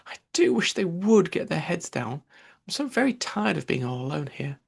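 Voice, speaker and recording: Guy doing a worried little girl from a storybook, chatty, breathy, higher voice. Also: very animated, moderate pace, heavily acted. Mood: sad, fretful. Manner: muses aloud to self, fretful. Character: anxious, chattering young girl, easily upset. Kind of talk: storybook character voice.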